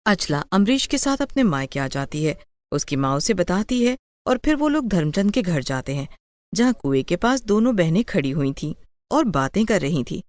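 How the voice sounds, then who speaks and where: A woman's voice: lowish voice, very animated, normal speed, darkish tone. A woman narrating a scripted bedtime moral story.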